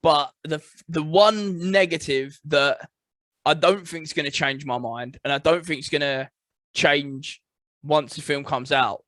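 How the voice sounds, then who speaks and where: Dry close recording, man, slightly high pitch, casual podcast talk, opinionated. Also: a little slow, fairly bright tone, no room sound. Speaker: podcast co-host. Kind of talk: casual chat.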